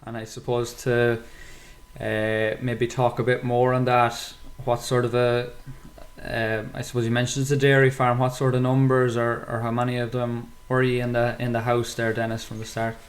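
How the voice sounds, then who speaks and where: A man's voice: medium pitch, monotone, moderate pace. A teenage boy hosting a podcast interview, asking questions with many hedges and fillers. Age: teens. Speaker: podcast host.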